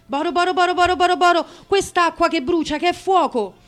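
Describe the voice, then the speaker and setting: A woman's voice: very high-pitched, a little fast, a bit bright. A woman performing a scripted theatrical recitation. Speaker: performer in a spoken theatre piece.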